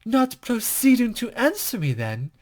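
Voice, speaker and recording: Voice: mid-range voice, very animated, measured pace, voice pushed up. A game player voicing a mysterious, playful woman from a visual novel, with teasing, archaic phrasing. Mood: scolding. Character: mysterious soothing woman, playful, enigmatic, selfishly impatient. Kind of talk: visual novel dialogue read aloud.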